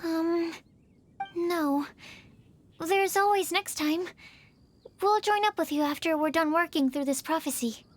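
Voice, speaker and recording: A woman voicing a gentle, curious and polite young woman in a video game, with a considerate, slightly tentative manner. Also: very high-pitched, a little slow, long pauses, a little hiss or hum. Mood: kind, concerned.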